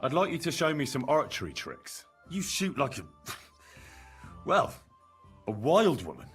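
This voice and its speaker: Cheeky young adventurer, animated delivery. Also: male voice, medium pitch, unhurried, soft background music. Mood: teasing. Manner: wry, playful, courteous. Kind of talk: video game dialogue acting.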